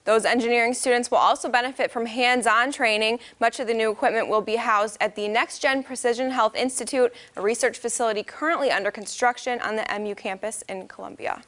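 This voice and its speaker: A female TV news reporter delivering a crisp, scripted package. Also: on the high side, fairly even intonation, brisk, few pauses, a bit bright.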